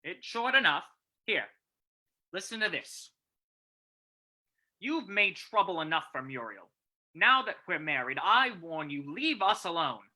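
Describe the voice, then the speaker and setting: A woman performs a hard-boiled male commissioner from an old radio mystery. The voice sits low for a woman and cuts very sharply. The delivery is clipped, gruff and sarcastic. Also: very animated, unhurried, long pauses, bright, forceful tone, heavily acted. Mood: gruff, accusing. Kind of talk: old-time radio play reading.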